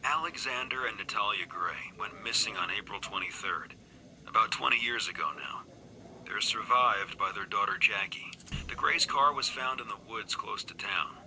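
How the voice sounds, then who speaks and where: An actor playing a grim, haunted police investigator, giving a grave, measured case briefing in a video game. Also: male voice, fairly low pitch, pushed and bright, a little hiss or hum, poor audio. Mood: grave, factual.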